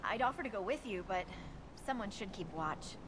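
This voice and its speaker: A woman's voice: medium pitch, expressive, moderate pace, background noise, lightly acted. A woman playing an easygoing, plain-spoken dwarf scout in a video game, casual and wry. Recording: music behind the voice.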